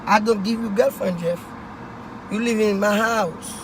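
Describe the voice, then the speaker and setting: A man's voice: high-pitched, fairly even intonation, measured pace, background noise. A man playing a strict, nagging immigrant father who keeps repeating his son's name. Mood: scolding. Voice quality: heavy accent, repeats son's name constantly. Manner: nagging, exasperated, loud bursts. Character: strict immigrant father, middle-aged, scolding. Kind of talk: comedy skit.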